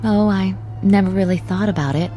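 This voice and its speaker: An actress playing a dutiful, independent grown daughter in a TV drama, speaking softly and politely. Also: female voice, medium pitch, fairly quick, soft background music, natural voice. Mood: gentle, curious. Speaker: actor in a television drama. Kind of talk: scripted TV drama acting.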